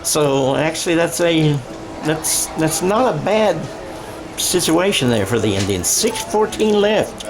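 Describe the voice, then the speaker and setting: Man, sports commentator, slow pace, fairly high voice, conversational, music behind. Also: big pitch swings, rough recording. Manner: conversational, thinking aloud. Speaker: play-by-play commentator. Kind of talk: live radio-style sports commentary. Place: high school football game. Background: stadium crowd and music.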